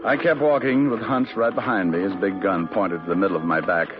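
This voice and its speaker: Man voicing a wry hard-boiled detective, low voice, dull old radio sound, music underneath. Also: brisk, close to an everyday voice. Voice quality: dry, wry delivery. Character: wisecracking male private eye narrator. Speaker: lead detective narrator. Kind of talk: 1940s radio acting. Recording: muffled old radio broadcast.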